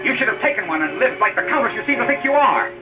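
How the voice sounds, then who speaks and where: An exasperated young husband with a high, swinging voice. It is 1930s melodrama on a dull old soundtrack with music behind. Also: male voice, sing-song, fast. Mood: desperate, angry. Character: exasperated, penny-pinching young working husband. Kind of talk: 1930s melodramatic film acting.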